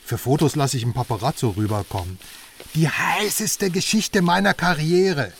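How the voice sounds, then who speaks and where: An actor in a radio drama playing a brash West German investigative journalist, chatty, sardonic and emphatic. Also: male voice, fairly high pitch, normal speed, creaky, fairly bright tone. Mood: eager, sardonic. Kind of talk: naturalistic radio drama dialogue. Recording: slightly distant voice, faint outdoor noise.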